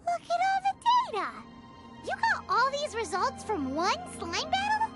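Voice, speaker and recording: Super high squeaky little fairy sidekick, bouncy voice all over the place, loud game music under it. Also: female voice, huge pitch swings, unhurried, heavily acted. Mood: amazed. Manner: squeaky, bouncy, wide-swinging. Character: tiny chirpy floating fairy companion, excitable. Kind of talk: video game voice acting.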